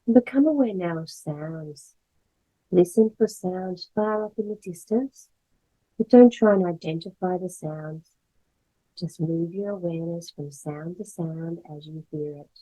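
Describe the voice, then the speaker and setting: A woman guiding a meditation as a yoga instructor, speaking in gentle, measured phrases with pauses. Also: on the low side, rough recording. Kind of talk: guided meditation, slow soothing instruction.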